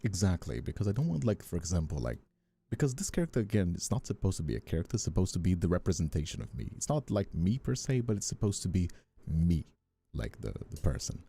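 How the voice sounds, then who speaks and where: A man with a very low, velvety dark voice talks off the cuff as a streamer. His pitch moves around a lot as he rambles through his thoughts for viewers, with soft music behind him. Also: sing-song, fast, gravelly, husky. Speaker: streamer and ASMR voice actor. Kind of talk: casual livestream chat answering viewers.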